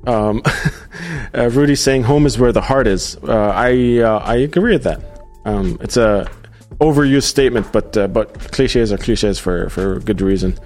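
A man hosting a live podcast, reading out viewer comments in a casual, halting way with many fillers. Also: medium pitch, darkish tone, faint music behind. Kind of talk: casual livestream chat.

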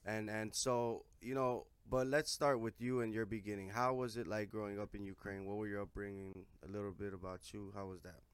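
A man in his twenties hosting a casual interview podcast, relaxed and full of "you know" fillers. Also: on the low side, brisk, hardly any pauses, smooth. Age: 20s. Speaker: podcast host.

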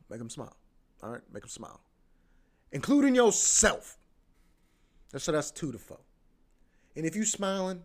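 A man in his twenties hosting his own podcast, talking casually in a rambling, slangy way with lots of fillers. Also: medium pitch. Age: 20s. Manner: laid-back, slangy, rambling with many fillers. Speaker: podcast host. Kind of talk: casual solo podcast monologue.

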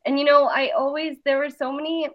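A woman's voice: sits high, fairly quick. Chatty woman, dull lo-fi recording. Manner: chatty, animated storyteller. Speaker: artist and interviewer. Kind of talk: casual conversation about creative work. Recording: dull lo-fi sound.

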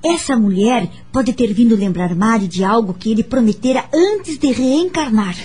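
A woman's voice: a little high, expressive, normal speed, husky. An actress playing a kind, wise Brazilian woman who earnestly counsels a friend in a radio drama. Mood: earnest, consoling. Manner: earnest, gently instructive. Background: background music.

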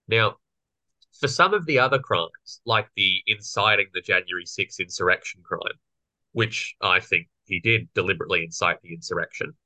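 A young man with a slightly croaky voice, co-hosting a casual political podcast and explaining legal points. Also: low voice, bright, forceful tone, no echo, rough recording. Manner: explanatory, lawyerly. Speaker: podcast co-host.

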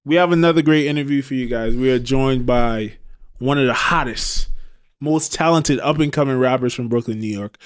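A man from Trinidad who moved to New York as a teen, hosting a casual podcast interview and talking in a relaxed, chatty way. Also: medium pitch, moderate pace, clean tone. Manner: laid-back, chatty, often trails off. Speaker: podcast host.

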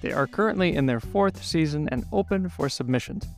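A man's voice: on the high side, lively intonation, a little fast, gravelly. A man reading a scripted podcast outro as the announcer. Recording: guitar music under the voice. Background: guitar theme music.

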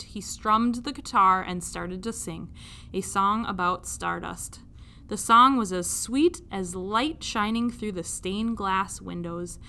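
A woman reading a children's story aloud like a teacher, with expressive narration. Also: medium pitch, slight background noise.

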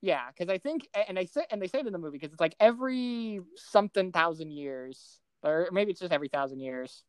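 A man co-hosting a pop culture podcast in a casual, animated way, laughing easily and often restarting his sentences. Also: high-pitched, a little fast, few pauses, clear, resonant tone, dry recording. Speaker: podcast co-host.

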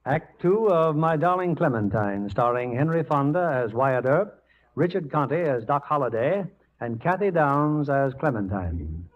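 A man's voice: a little high, animated, measured pace. A man hosting and narrating a scripted 1940s radio drama. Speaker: programme host. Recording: muffled 1940s radio recording with music.

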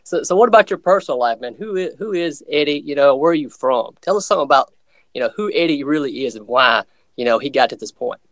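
A man's voice: a little high, lively intonation, quick pace, a bit bright, no echo. A man from Alabama hosting a podcast interview, enthusiastic and casual. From around Mobile, Alabama. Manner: enthusiastic, says "man" a lot. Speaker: podcast host.

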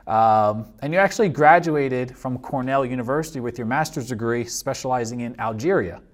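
A man who is a police officer hosting a casual podcast interview, polite and filling pauses with um and uh. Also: mid-range voice. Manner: polite, many ums and uhs.

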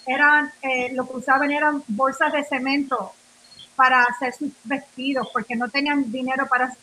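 Bright high-voiced Nuyorican woman. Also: flat delivery, moderate pace, rough recording. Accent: Nuyorican, Spanish mixed with English words. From New York, of Puerto Rican family. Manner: rambling, many fillers and restarts, switches into English. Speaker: guest. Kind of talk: casual interview talk. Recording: radio interview.

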